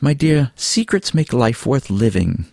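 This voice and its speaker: A man's voice: medium pitch, expressive, measured pace, creaky, a little mellow, no room sound, studio quality, voice pushed up. A man voicing a vain, flirtatious, theatrical male weasel in an audiobook, haughty and florid in manner. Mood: lofty, lecturing. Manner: haughty, florid, wordy, drawling endearments. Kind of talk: audiobook character dialogue.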